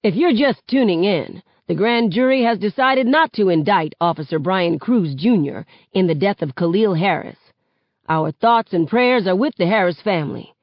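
A woman playing a local radio DJ in an audiobook, steady and announcer-like. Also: medium pitch, moderate pace, no room sound, highs cut off, close to an everyday voice. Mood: announcing. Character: local hip-hop radio DJ, smooth announcer.

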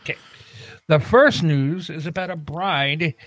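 A man co-hosting a casual comedy show, haltingly reading out the news and repeating himself. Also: on the high side, fairly bright tone, no room sound.

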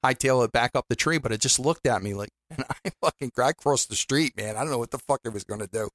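A man's voice: mid-range voice, quick pace, fairly bright tone, no echo, clean studio sound. A man co-hosting a podcast, chatting casually in a laid-back way, laughing often and swearing now and then. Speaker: podcast co-host. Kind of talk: casual chat.

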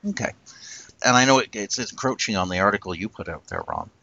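A man co-hosting a casual discussion, talking in an earnest, reflective way and slowing down to stress his points. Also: lowish voice, wildly swooping pitch, vocal fry, sharp tone, muffled recording. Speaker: podcast co-host.

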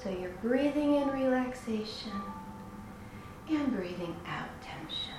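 A woman's voice: a little high, lively intonation. Soothing woman meditation guide, very echoey. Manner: soothing, unhurried, pausing between phrases. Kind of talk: guided meditation, slow instruction. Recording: very echoey room with music. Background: meditation music.

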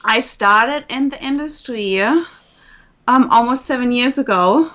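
A woman's voice: on the high side, expressive, a little slow, fairly bright tone. A woman with a German accent, a guest on a call-in interview, telling her story in a chatty way and quoting others as she goes. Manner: chatty, giggly, quotes people in dialogue. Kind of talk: casual interview answers.

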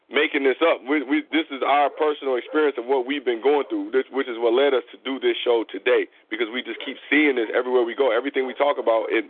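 A man's voice: mid-range voice, husky. A man hosting a call-in show, talking earnestly and explaining things with many repetitions. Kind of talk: casual spiritual chat. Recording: dry tinny phone line.

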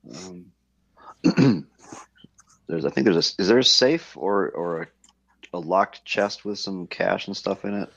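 A man's voice: low-pitched, a little slow, creaky, pauses often, a bit bright, no echo. A man in a casual gaming roundtable, a podcast panelist talking tentatively over a dull, lo-fi connection.

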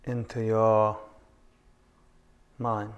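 A man leading a guided meditation calmly and without hurry, in short phrases with long pauses. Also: on the low side, clean tone, dull audio.

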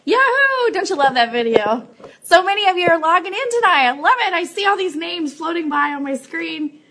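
A woman's voice: very high voice, animated, fairly quick, bright, forceful tone. A woman co-hosting a casual live online show, chatty and effusive as she speaks to her viewers. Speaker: co-host and founder of a radio show.

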